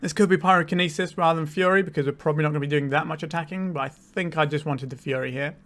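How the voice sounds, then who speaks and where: A man with a slightly higher than usual voice talks through a card game in a relaxed, explanatory way, thinking aloud as he goes. He has a cold and sounds a little tired. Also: very fast. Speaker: channel host, card game player. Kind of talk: casual gameplay commentary.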